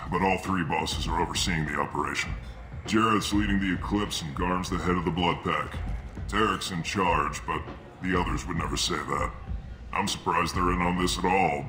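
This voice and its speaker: An actor playing a gruff mercenary recruiter who talks in a blunt, businesslike way. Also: male voice, low-pitched, sharp tone, a little hiss or hum. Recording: game cutscene with music behind.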